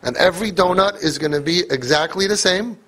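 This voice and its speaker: A man's voice: high-pitched, fairly quick, a bit bright. A man giving an emphatic motivational talk to parents, repeating phrases for force. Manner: emphatic, repeats phrases, mixes in Yiddish and Hebrew words. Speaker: speaker addressing parents.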